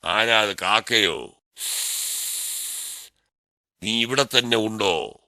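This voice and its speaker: A man voicing a smug, brazen snake with a sneering, drawling manner in an animated children's story. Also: lowish voice, extremely slow, dry recording, full character voice. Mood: mocking surprise. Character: smug, brazen egg-stealing male snake.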